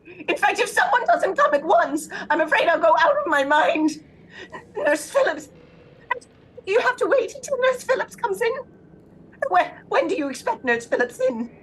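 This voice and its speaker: An actress playing a frantic, demanding invalid wife, agitated and imperious, in a suspense audio drama. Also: female voice, extremely high pitch, big pitch swings, moderate pace, a bit bright, slight background noise, heavily acted. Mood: demanding, nervous. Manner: agitated, imperious, breathless pleading.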